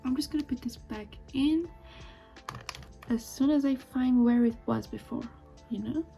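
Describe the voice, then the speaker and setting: A young woman with a Persian accent chatting softly while she draws, thinking aloud as she goes. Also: high voice, measured pace, gravelly. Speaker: drawing video creator. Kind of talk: casual chat while drawing. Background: faint music and marker scratching.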